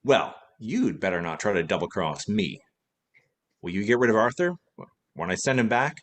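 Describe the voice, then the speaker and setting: Man playing a wry, lively radio-play detective. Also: lowish voice, moderate pace, lightly acted. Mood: cool, scheming. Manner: wisecracking, teasing. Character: wry tipsy male former detective, cheerfully cunning. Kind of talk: vintage radio play acting.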